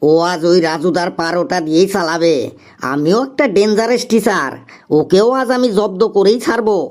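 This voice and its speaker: A man's voice: high-pitched, moderate pace, a little mellow, lightly acted. A man voicing an exasperated, boastful schoolteacher in a comic cartoon, grumbling self-importantly on a noisy track. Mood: grumbling, scheming. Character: exasperated male schoolteacher, boastful, scheming to outwit pupil.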